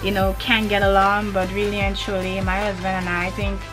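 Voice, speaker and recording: A woman's voice: medium pitch, flat delivery, fairly quick, music in the background. A young woman from Grenada with a Grenadian accent, chatting animatedly to the camera in a vlog and repeating her points for emphasis. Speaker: vlogger. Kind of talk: casual to-camera chat. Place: indoors in front of a hanging cloth backdrop. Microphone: camera in front at head-and-shoulders framing.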